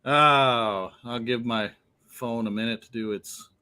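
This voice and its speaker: A man's voice: medium pitch, slow, pushed and bright, clean tone. A man with a mid-range voice hosts a casual livestream over a laptop connection. He is blunt and easygoing, laughs a lot and swears without a second thought. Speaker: livestream host. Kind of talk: casual chat. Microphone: laptop on a remote call.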